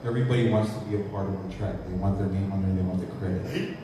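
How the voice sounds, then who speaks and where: A man with a very deep voice moderates a panel, asking loose questions and passing topics along. His voice carries from a distance through a big hall with a long echo. Background noise runs steadily behind him. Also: quick pace. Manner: asks questions loosely, hands topics to others. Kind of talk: casual panel talk. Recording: echoey hall, mic far off, noisy.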